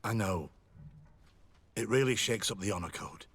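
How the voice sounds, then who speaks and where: Husky, low-voiced lieutenant. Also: male voice, moderate pace, fairly bright tone. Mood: grave, regretful. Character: wary military lieutenant, dutiful male informant confiding secrets. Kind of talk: video game dialogue acting.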